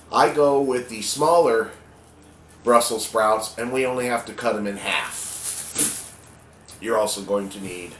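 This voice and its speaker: A man in his fifties talks slowly through a home recipe, chatty and unhurried, with a slightly congested voice. He is recorded on a webcam in a kitchen with a noticeable roomy echo and a faint hum of background noise. His voice sits at an ordinary middle pitch for a man. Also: a bit bright. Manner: slow, unhurried, chatty and homely. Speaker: home cooking host. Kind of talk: casual cooking video.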